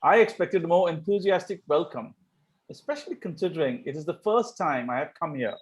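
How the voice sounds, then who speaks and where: A man's voice: fairly high pitch, animated, a little fast, natural voice. A man playing a cheeky, idle young Victorian dandy with a breezy, teasing delivery in an amateur table read of a stage comedy. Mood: mock wounded.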